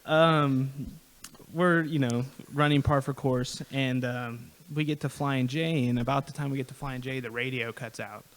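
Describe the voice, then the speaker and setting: A man's voice: medium pitch, normal speed. Young male co-host, easygoing storyteller, slight hiss on the recording. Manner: relaxed, tells story with lots of "right?". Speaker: podcast co-host. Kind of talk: casual storytelling.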